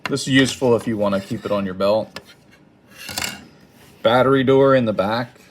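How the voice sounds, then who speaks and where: A man giving an informal hands-on product tutorial in a hesitant, halting, apologetic manner. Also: medium pitch, noisy background. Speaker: product demonstrator. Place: indoors at a wooden table.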